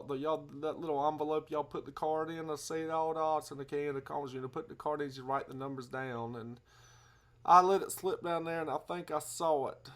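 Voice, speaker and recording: A man's voice: a little high, fairly bright tone. A man with a Southern US accent playing a folksy, muddled hotel guest who rambles in double-talk on a prank phone call. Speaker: prank caller.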